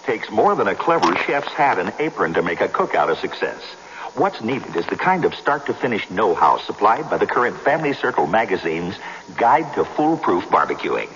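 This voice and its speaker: Low, animated male radio announcer. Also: moderate pace, slight background noise. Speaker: commercial announcer. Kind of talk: 1970s radio advertising read. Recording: reverberant, dull old radio recording.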